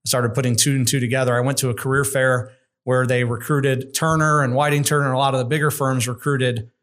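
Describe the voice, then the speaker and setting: A middle-aged man speaks as a podcast guest, calm and measured, with a candid and thoughtful way of putting things. His voice sits at an ordinary middle pitch for a man. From United States. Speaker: construction executive guest. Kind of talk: conversational interview.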